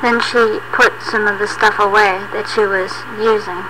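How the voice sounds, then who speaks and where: A woman telling a story as narrator of a homemade audio play, in a plain, halting way. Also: medium pitch, steady tone, noisy background.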